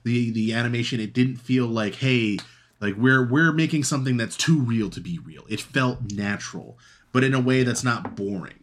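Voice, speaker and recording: A man's voice: mid-range voice, a little hiss or hum. A man co-hosting a casual chat show about anime, gushing with eager restarts and fillers. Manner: enthusiastic, halting with restarts and fillers. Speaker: podcast co-host.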